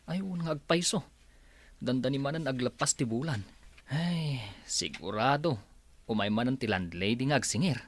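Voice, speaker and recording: A man's voice: medium pitch, expressive, normal speed, no echo, lightly acted. A man playing an easygoing, stubbornly hopeful young husband in a radio drama, talking casually. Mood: worried.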